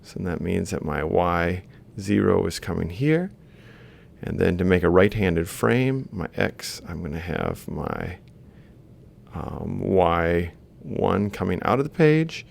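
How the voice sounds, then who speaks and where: A man's voice: deep voice, very animated, takes it slowly, creaky, a little hiss or hum. A man, giving an instructional university lecture and explaining worked examples into a close desk microphone. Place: small book-lined office, indoors. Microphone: large desk microphone close to the mouth.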